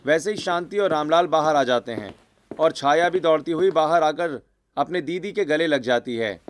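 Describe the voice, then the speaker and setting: A man's voice: on the high side, slight background noise. A man reading a scripted story as its narrator.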